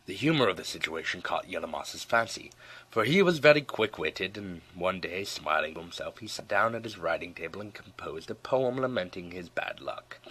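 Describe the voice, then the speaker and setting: Male audiobook narrator, very low register, crisp bright edge, scripted storybook read, measured and expressive. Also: low-quality recording.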